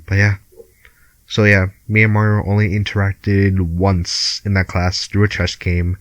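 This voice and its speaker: A young man with a Filipino accent and a low, deep voice. He co-hosts a podcast from home over a call, easygoing and laughing between lines. The sound is close and completely dry. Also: completely flat delivery, measured pace, dry recording. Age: young adult. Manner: laid-back, laughs often, swears casually. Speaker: podcast co-host. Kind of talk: casual chat.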